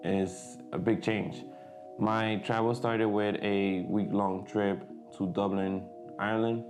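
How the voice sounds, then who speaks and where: A man's voice: deep voice, gravelly. A young man, a native Spanish speaker from the Dominican Republic, chatting casually to camera in a vlog, hesitant with many fillers and restarts. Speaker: vlogger.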